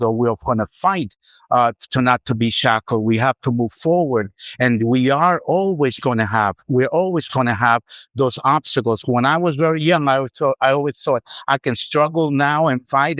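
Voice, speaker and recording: A middle-aged male academic speaks defiantly on a panel and repeats key phrases for weight. His voice sits at an ordinary pitch. The sound is dry and close. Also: fairly bright tone, dry recording. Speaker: professor, panelist. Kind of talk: round table remarks.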